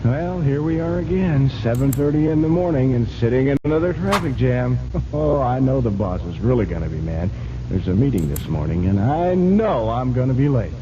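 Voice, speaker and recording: A man's voice: medium pitch, lively intonation, normal speed, lightly acted. An actor playing a harried, impatient man commuting by car in a radio skit, exclaiming and sighing to himself. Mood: frustrated. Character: harried, impatient male commuter driving in traffic. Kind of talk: radio public service skit. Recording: muffled radio recording, loud car noise.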